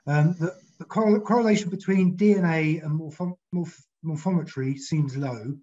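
Man moderator, slightly raised pitch, brisk question-reader on a muffled video call. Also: mellow. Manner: brisk, reading out audience questions. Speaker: session chair.

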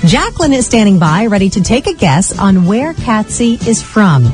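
Lively woman presenter over music. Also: medium pitch, normal speed. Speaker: radio presenter. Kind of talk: scripted contest introduction.

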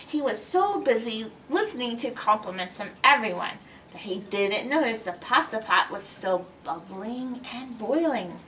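A middle-aged woman reading a storybook aloud to children with expressive, dramatic delivery. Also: a little high, a little slow, recorded from a distance, a little hiss or hum, low-quality recording. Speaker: children's librarian, story time reader. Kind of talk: animated storybook read-aloud. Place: indoors in a library by bookshelves.

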